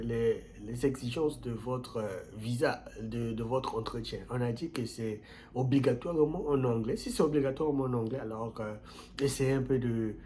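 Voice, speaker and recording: A man hosting his own channel, giving earnest advice in a casual way, repeating key phrases and jumping up in pitch on stressed words. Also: a little mellow, slight background noise. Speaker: YouTube channel host.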